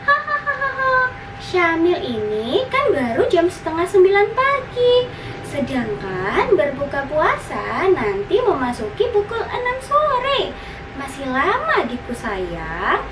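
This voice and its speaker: Storybook big sister, acted by a woman: young, caring, high voice, patient explainer. Echoey, distant sound. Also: huge pitch swings, slow pace, heavily acted. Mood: amused. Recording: roomy, distant, noisy recording.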